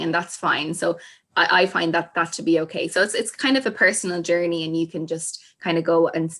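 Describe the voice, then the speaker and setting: A woman's voice: fairly low pitch, fairly bright tone. A woman, a language teacher, chatting on a panel with plenty of you-knows. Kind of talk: casual panel discussion.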